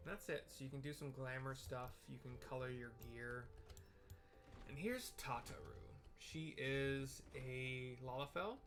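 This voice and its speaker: A man's voice: medium pitch, measured pace, a bit bright, soft background music. A man giving casual, descriptive commentary on a video game in an informal, meandering way.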